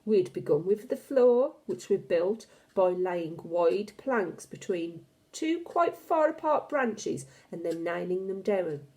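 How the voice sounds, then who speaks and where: A woman reading a children's novel aloud as an expressive, dramatic and deliberate storyteller. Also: mid-range voice.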